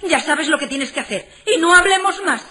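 A woman's voice: sits high, expressive, normal speed, pushed and bright. A woman playing a demanding, insistent woman who wants money, in a radio comedy. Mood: firm, insistent. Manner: insistent, matter-of-fact. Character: brisk, demanding woman wanting money from her man.

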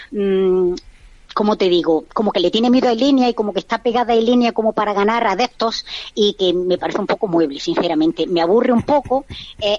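A woman's voice: mid-range voice, dry recording. Dull lo-fi sound, blunt talkative woman. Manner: talkative, blunt, repeats herself. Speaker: radio guest, reality show fan commentator. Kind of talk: casual opinionated chat.

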